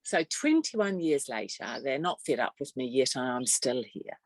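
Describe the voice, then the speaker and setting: A woman's voice: extremely low pitch, big pitch swings, normal speed, a little mellow, no echo. A middle-aged woman with a New Zealand accent, a podcast guest who explains things steadily and methodically. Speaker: guest, trade association executive director. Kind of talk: conversational podcast interview.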